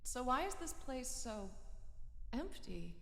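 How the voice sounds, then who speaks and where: An actress playing a wary, defensive patient in a radio drama, with a halting, skeptical way of talking. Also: female voice, sits high, big pitch swings, gravelly, fairly bright tone, exaggerated voice. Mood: puzzled, skeptical. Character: wary, defensive female patient, questioning everything. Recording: echoey, long reverb.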